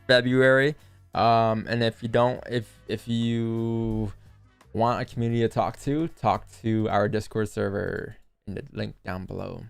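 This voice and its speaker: A man's voice: mid-range voice, creaky, clear, resonant tone. A young man hosting a live trading stream, talking casually and jokingly straight to his chat while he walks through charts. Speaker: livestream trading host.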